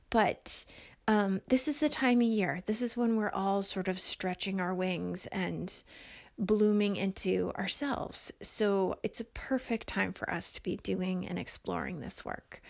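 A woman speaks slowly and unhurriedly, her voice soft and hushed, often dropping to a near whisper. She hosts her own podcast, recorded at home late at night, and talks in an earnest, gentle way with plenty of ums. Her pitch sits in the middle of the range. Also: vocal fry. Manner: gentle and earnest, with frequent ums and light laughs. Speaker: podcast host and life coach. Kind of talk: casual solo podcast talk.

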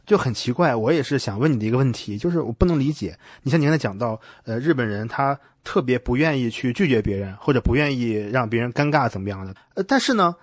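Male podcast host, brisk talker, dry recording, curious conversational questioning. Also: medium pitch, animated, no echo. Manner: inquisitive, rephrases what he hears as questions. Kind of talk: casual interview conversation.

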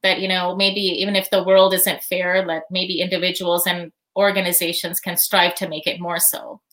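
A woman's voice: lowish voice, flat delivery, moderate pace, a bit bright. A woman guest on a podcast interview, speaking thoughtfully with frequent fillers and easy laughter. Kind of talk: casual interview answers.

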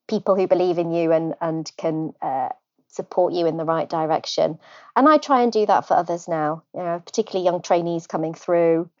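A middle-aged woman from North Wales, a hospital physician, answering interview questions thoughtfully with pauses and restarts. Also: on the low side, dull audio.

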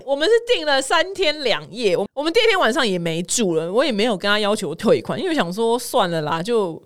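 Fast-talking Taiwanese woman podcast host. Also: a little high, very animated, a bit bright. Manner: chatty, playful, opinionated. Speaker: host of a news commentary podcast. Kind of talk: casual comedic news commentary with personal anecdotes.

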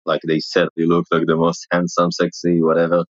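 Deep mumbling man with an Israeli accent. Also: monotone, fairly quick, no room sound, lo-fi sound. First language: Hebrew. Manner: blunt, loose storytelling with curse words. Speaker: interview guest, celebrity impersonator. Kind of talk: casual interview.

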